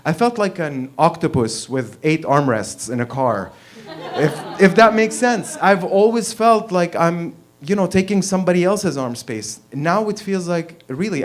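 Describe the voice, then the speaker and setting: A man in his thirties from Lebanon telling a personal story at an open mic, blunt and profane, laughing and getting louder as he gets worked up. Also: a little high. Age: 30s. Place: small performance venue, in front of an audience.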